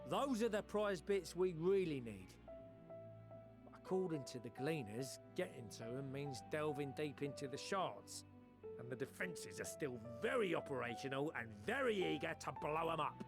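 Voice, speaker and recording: A man playing a rough-spoken, chirpy engineer in a video game, with a colloquial British accent and animated, folksy manner. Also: on the high side, normal speed, over music. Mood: wry, cautionary. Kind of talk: video game cutscene acting.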